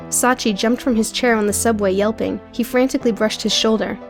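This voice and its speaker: A woman's voice: a little high, steady tone, brisk. A woman reading scripted narration for an audio book. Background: music.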